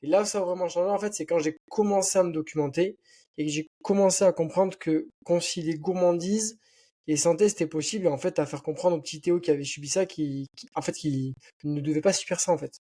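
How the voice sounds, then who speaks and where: A man's voice: on the high side, steady tone, fairly quick, mellow. A young man from southwestern France with a light southern accent, chatting casually as a podcast guest and rambling with lots of fillers. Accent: southwestern French.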